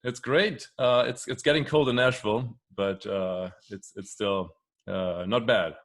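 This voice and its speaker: A man's voice: on the low side, measured pace, a bit bright. A man hosting a video podcast interview, speaking hesitantly with many fillers and repeated words. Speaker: podcast host.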